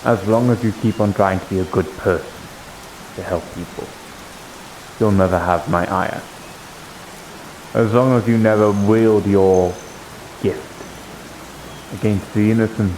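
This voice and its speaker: Man playing a low-voiced, devout holy warrior. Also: gravelly, darkish tone, a little hiss or hum, rough recording. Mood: solemn, loyal. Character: earnest, devout holy warrior, plain-spoken and loyal. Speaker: roleplayer. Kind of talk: improvised in-character fantasy roleplay. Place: live online VR roleplay stream.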